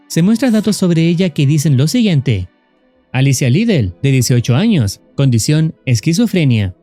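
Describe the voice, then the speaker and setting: A man's voice: a little high, dark tone. A man narrating a scripted story recap, explaining scenes as a narrator. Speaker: narrator of a horror series recap.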